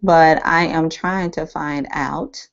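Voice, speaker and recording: Woman trainer, low-ish voice, going hoarse, rambling screen-share delivery, dull lo-fi computer-mic sound. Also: fairly even intonation, slow. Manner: rambling, thinking aloud with many ums. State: losing her voice, a bit hoarse. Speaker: online marketing trainer. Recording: dull lo-fi screen-capture audio.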